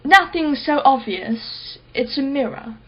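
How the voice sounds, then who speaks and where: A woman's voice: high voice, expressive, takes it slowly, dull audio. A woman playing a wealthy, sarcastic customer in a radio play, with a wordy, theatrical way of talking. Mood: haughty, teasing.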